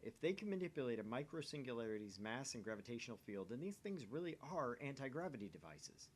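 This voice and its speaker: A man's voice: medium pitch, sing-song, fast, slight background noise. A man hosting a let's play, reading visual novel narration aloud and chatting casually in a self-deprecating way.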